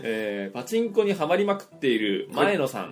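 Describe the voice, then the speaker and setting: A man's voice: fairly high pitch, sing-song, brisk, soft background music. A man hosting a comic radio show, using polite presenter phrasing as he reads letters and plays along as straight man. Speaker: radio host reading listener letters.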